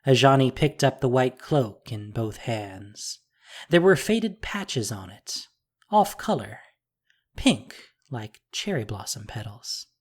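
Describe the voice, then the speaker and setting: Slow fantasy audiobook narrator, pitch moves around a lot, measured and dramatic. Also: big pitch swings, husky. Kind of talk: scripted fantasy story narration.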